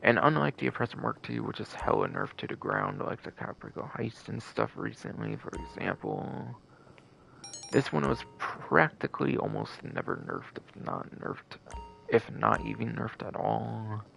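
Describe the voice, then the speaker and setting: A man's voice: lowish voice, moderate pace, gravelly, a bit bright, soft background music, rough recording. A man giving casual live game stream commentary in a rambling, repetitive way full of filler words, with some casual swearing.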